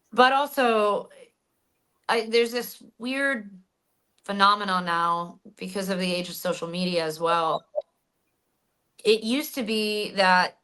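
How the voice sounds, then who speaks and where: A woman guest talking casually in an interview, animated, with frequent fillers and restarts. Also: medium pitch. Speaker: guest, stunt actress and hypnotherapist.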